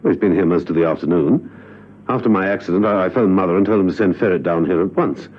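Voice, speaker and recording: An actor playing a suave, unflappable British gentleman secret agent in a classic spy radio drama, courteous and dryly witty, with a smooth voice. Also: low voice, fast. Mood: puzzled. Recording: muffled vintage radio recording.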